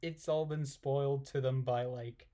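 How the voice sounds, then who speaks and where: A man's voice: mid-range voice, fairly even intonation, a little slow, mellow. A man reading aloud and commenting casually in an irreverent, giggly, puzzled manner. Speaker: amateur reader and commentator.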